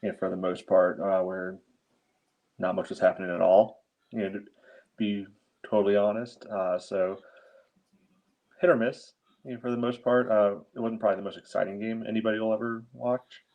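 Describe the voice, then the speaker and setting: Lower-voiced man, rambling and wry. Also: little pitch movement, normal speed, dull audio. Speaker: podcast co-host. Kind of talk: casual sports chat.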